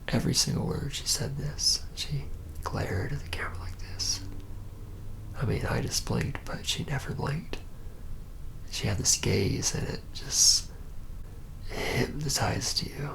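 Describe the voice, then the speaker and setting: A reluctant young male vampire , acted by a man, confides his story in an ASMR roleplay. His low voice is heavily fried and slips often into a breathy whisper. He speaks haltingly, nervous and rueful, trailing off as he goes. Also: sharp tone, full character voice. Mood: entranced, nervous. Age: young adult. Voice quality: breathy whisper mixed with low creaky murmur.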